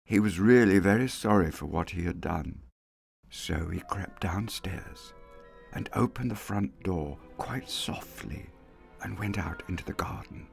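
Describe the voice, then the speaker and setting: A man giving an expressive dramatic reading of a classic tale, lingering over words and dropping to hushed asides. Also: low-pitched, a little slow, gravelly. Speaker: storyteller. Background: soft music underscore.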